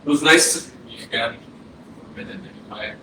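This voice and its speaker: A man's voice: mid-range voice, quick pace, natural voice. A male actor playing a dead young man, bitter and yearning to live, in a blunt, impassioned staged play reading. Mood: resigned. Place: arts center theatre, staged reading. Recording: distant, very echoey hall, noisy air conditioning.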